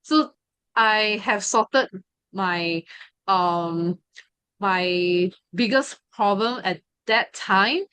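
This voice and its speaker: A woman's voice: medium pitch, drawn-out words, pushed and bright, clean tone, no echo. A young woman sharing a personal testimony, hesitant and full of fillers and restarts. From Malaysia. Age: 20s. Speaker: study group member sharing her story.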